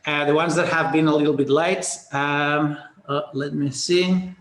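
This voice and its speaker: A man's voice: a little high, steady tone, unhurried. A man from Spain with a Spanish accent hosts a live webinar, chatting upbeat, greeting attendees by name and stumbling through restarts and fillers. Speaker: webinar host. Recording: roomy webinar audio.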